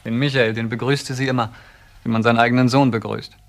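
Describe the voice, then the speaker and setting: A man's voice: medium pitch, steady tone, quick pace, lightly acted. An actor playing a defiant, accusatory young man defending his brother in a German crime radio drama. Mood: reflective.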